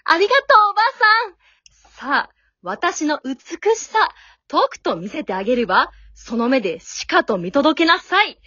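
Radio drama heroine, female amateur: haughty, pushy young girl, high-pitched and very animated, dry slightly dull recording. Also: moderate pace, no echo, exaggerated voice. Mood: triumphant. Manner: haughty, coy, pushy ladylike speech.

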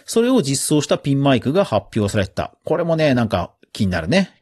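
Lively, swooping male podcast host. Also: mid-range voice, fairly quick, loud music behind the voice. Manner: conversational, chatty. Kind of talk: casual news commentary.

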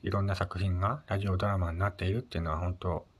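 Low-voiced man chatting casually on his podcast. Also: little pitch movement, racing, husky. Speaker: solo podcast host. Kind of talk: casual solo radio chat.

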